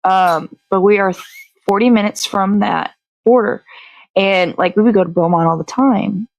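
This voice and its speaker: A woman's voice: mid-range voice, normal speed, a little mellow, dry recording, low-quality recording. Blunt, sweary woman in a close, dry recording. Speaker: host of a morning show. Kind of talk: casual chat.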